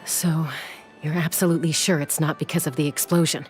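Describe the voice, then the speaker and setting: A woman's voice: level tone, fairly quick, darkish tone, faint music behind, close to an everyday voice. A woman playing a young peacemaker in a video game, confident on the surface but sensitive and hesitant underneath. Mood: resigned. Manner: hesitant, low-key.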